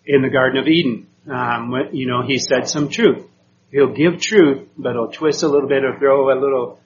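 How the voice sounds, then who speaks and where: Halting man lecturing a class. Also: mid-range voice, dull audio, a little hiss or hum. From Puerto Rico. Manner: hesitant, many ums, checks with "okay?". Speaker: teacher.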